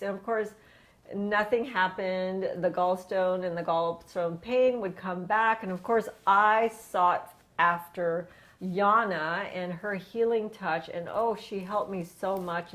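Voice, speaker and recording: A woman hosting a wellness interview show in an effusive, emphatic and conversational way. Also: mid-range voice. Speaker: host of a women's wellness show.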